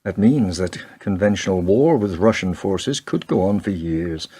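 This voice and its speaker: An actor playing a weary, troubled president in a radio play, speaking pensively and hesitantly. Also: male voice, low-pitched, expressive, a little slow, warm tone, husky, exaggerated voice. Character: weary, troubled American president questioning his own war.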